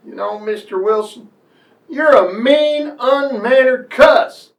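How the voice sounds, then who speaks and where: A man's voice: very high-pitched, slow pace, recorded from a distance, heavily acted. A man acts out a folksy boss in a radio play, standing a little off the mic. He is hearty and blunt, with a cowboy drawl. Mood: indignant.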